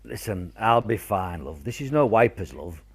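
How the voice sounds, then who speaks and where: A man's voice: fairly low pitch, expressive, normal speed, breathy edge. An actor playing a stoical, caring British father during an air raid in a radio play, matey and reassuring.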